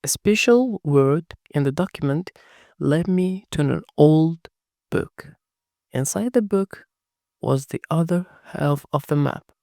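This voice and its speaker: A narrator telling a first-person horror story in a hushed, dramatic scripted reading. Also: mid-range voice, animated, slow, no room sound, studio quality.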